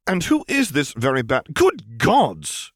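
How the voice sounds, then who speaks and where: Audiobook officer, acted by a man: proper, stubborn, easily flustered, voice pitched up and sputtering. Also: wildly swooping pitch, slow pace, no echo, clean studio sound, full character voice. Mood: bewildered, exasperated. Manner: sputtering, stiff, formal. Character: stubborn, flustered adult male military officer, stiffly polite.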